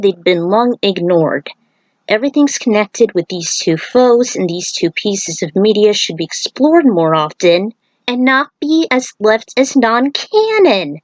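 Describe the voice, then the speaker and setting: A woman's voice: medium pitch, expressive, measured pace, no echo, rough recording. A woman giving casual, emphatic fan commentary in an opinionated way, like an author narrating her own video.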